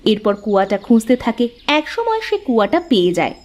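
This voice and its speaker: A woman's voice: fairly high pitch, very animated, a little fast, darkish tone. A woman giving scripted narration for a cartoon fairy tale.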